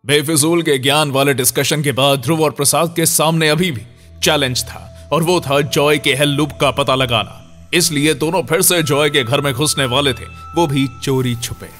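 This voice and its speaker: A man's voice: medium pitch, steady tone, normal speed, a bit bright, soft background music. A man giving scripted narration for a Hindi horror-comedy audio story.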